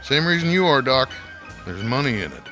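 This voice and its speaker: An actor playing a smug, sneering gossip author in a radio mystery, sarcastic, theatrical and mocking. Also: male voice, medium pitch, sing-song, fairly quick, over music, close to an everyday voice. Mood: cruel, sardonic. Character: smug, sneering male gossip author taunting old acquaintances. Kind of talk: radio mystery drama acting.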